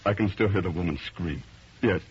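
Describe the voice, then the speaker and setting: An actor playing a shaken, haunted husband with grave, halting, melodramatic delivery in a 1930s radio drama. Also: male voice, low-pitched, husky. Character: shaken, haunted husband recounting a night of horror. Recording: muffled, noisy 1930s radio recording.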